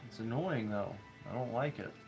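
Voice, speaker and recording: A man's voice: medium pitch, moderate pace, vocal fry, a little mellow, low-quality recording. A man in his thirties with a stuffy head cold, rambling playfully while he builds. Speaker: streamer building toys. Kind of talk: casual play-by-play while building. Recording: stream with background music. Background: background music.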